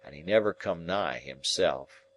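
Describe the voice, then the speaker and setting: A man voicing a rough old male convict, gruff and plainspoken, in a rustic dialect. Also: low-pitched, unhurried. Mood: grim storytelling.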